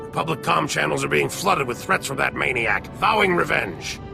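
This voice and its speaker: An actor playing a resolute military general in a video game, grave and commanding. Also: male voice, fairly high pitch, expressive, moderate pace, creaky. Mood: urgent, grim. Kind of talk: video game character acting. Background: music.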